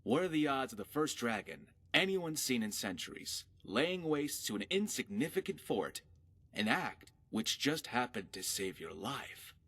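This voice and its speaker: A man's voice: mid-range voice, sing-song, measured pace, fairly bright tone, breathy edge, no echo, heavily acted. A man voicing a sardonic but loyal pirate companion in a fantasy video game, with a dry delivery. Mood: wry, concerned. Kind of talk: scripted fantasy game dialogue.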